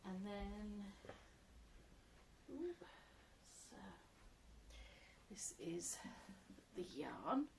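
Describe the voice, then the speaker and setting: A woman in her thirties chats slowly and warmly about her crochet from home, rambling and laughing as she goes. Her voice is a little croaky from a cold, at a middling pitch, with a faint hiss under the recording. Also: big pitch swings, low-quality recording. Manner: chatty, rambling, laughs often. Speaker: crochet vlogger, mother. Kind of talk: casual show-and-tell chat.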